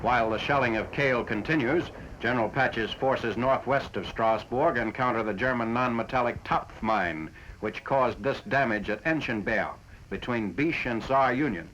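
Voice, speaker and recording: A man's voice: mid-range voice, a bit bright. Male narrator for a 1940s war newsreel, factual and formal, on a lo-fi, slightly noisy vintage soundtrack. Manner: formal, factual, measured delivery.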